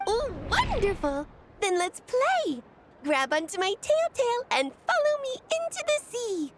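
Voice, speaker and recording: A woman's voice: very high voice, sing-song, very noisy, full character voice. A woman voicing a mischievous, free-spirited little fluffy creature in a video game, with theatrical, exclamatory delivery. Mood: gleeful. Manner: theatrical, exclamatory storytelling with giggles and drawn-out words.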